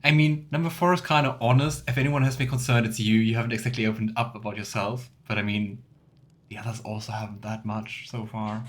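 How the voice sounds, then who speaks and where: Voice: medium pitch, steady tone, fairly quick. A let's player giving casual, musing commentary on a game and reading dialogue options aloud. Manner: musing, chatty asides.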